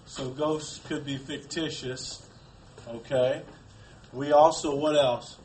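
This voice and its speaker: A man's voice: medium pitch, steady tone, slow, darkish tone, slight background noise, poor audio. A man teaching a class, reading aloud and prompting pupils with questions. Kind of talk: interactive classroom read-aloud and discussion.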